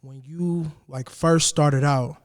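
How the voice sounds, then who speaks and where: A young man co-hosting a casual podcast. His voice sits a bit higher than average, and he talks in a loose, conversational way, asking questions and leaning on filler phrases. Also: takes it slowly. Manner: relaxed, repeats "you know what I'm saying". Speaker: podcast co-host. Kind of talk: casual interview chat.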